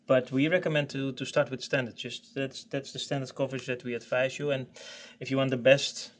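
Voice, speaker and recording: Product expert guy explaining software, medium pitch, stop-start delivery, keeps repeating little words. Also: flat delivery. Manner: halting, repeats words and searches for phrasing. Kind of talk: informal technical explanation in an online training.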